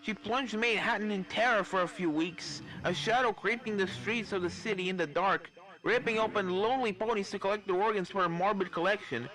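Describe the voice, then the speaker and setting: A man voicing a scholarly professor who explains things gravely and emphatically in a fan fiction reading. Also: mid-range voice, brisk, fairly bright tone, pitched above the performer's own voice, natural voice, low-quality recording.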